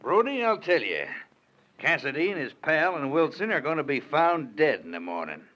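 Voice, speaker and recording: An actor playing a ruthless, mocking outlaw boss in 1940s radio acting style. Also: male voice, a little high, sing-song, normal speed, a bit bright. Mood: gloating. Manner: mocking, taunting. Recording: muffled old radio recording.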